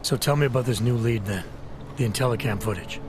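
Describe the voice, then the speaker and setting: A man's voice: medium pitch, fast, music in the background, natural voice. An actor playing a terse, skeptical male special agent in a video game, dry and questioning. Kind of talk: video game cutscene acting.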